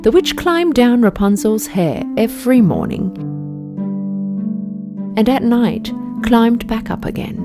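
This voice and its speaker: Slow storybook lady narrator, high voice, music playing behind her. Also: big pitch swings. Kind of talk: scripted children's fairy tale narration.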